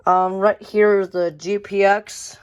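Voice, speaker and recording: A woman's voice: mid-range voice, flat delivery, slow pace, noisy background. A woman giving a casual, unscripted show-and-tell of a collection, rambling and often repeating phrases. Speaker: radio collector showing a collection.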